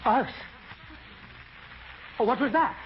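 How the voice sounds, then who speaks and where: A man's voice: very high voice, slow pace. An actor playing a flustered, cowardly, blustering father in theatrical 1940s radio comedy. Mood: panicky. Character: blustering, fretful, cowardly father, dreaming he's a turkey. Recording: noisy, dull vintage radio broadcast.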